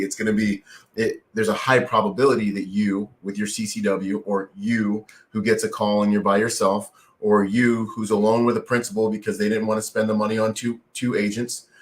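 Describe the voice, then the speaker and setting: A male podcast host with a fairly low voice, chatting in an easygoing way. He leans on slang and keeps nodding along with short fillers as his guest talks. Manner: laid-back slang, lots of agreeing fillers. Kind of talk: casual podcast interview.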